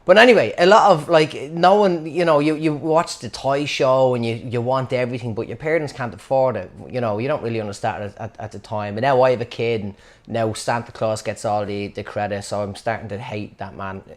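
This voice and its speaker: A man's voice: medium pitch, fairly even intonation, fairly quick. A man from Dublin with a Dublin Irish accent, telling a funny childhood story in a wry, rambling, self-mocking way. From Dublin, Ireland. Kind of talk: casual comic storytelling.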